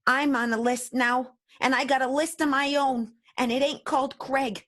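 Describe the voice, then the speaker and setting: Scrappy, vengeful vaudeville girl, played by a woman: high voice, folksy theatrical radio-play delivery. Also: steady tone, normal speed, fairly bright tone. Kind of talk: improvised radio soap opera acting.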